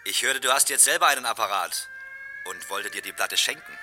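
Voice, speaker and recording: An actor playing a boastful ex-lover and new workshop boss in a radio play, coaxing and persistent. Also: male voice, medium pitch, expressive, brisk, bright, forceful tone, faint music behind. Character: Hans, wooing male ex-lover, newly a workshop boss. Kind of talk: naturalistic German radio play dialogue.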